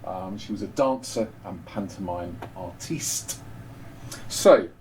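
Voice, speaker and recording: A man's voice: low-pitched, slow, recorded from a distance, noisy background. A man speaking as a writer introducing his own story at a live reading, informative and a little hesitant.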